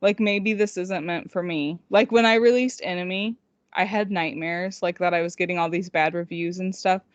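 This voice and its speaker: A woman's voice: fairly low pitch, fairly even intonation, normal speed, dry recording. Female indie author co-hosting a podcast, self-deprecating and conversational, with a dead-room, dull lo-fi sound. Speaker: podcast co-host. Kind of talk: casual chat.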